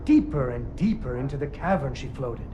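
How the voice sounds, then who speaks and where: This is a young girl narrating a puppet-show fairy tale in a storybook lilt. Her pitch swoops up and down with theatrical flair, and game music is plainly heard underneath. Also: animated, normal speed. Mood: storybook telling. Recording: game audio with music behind.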